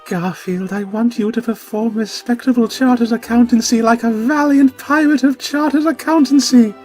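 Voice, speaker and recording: A man's voice: very high voice, fairly even intonation, normal speed, darkish tone, faint music behind, pitched above the performer's own voice. A man voicing an ardent royal young woman who pleads melodramatically in a comic story reading. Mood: pleading desire.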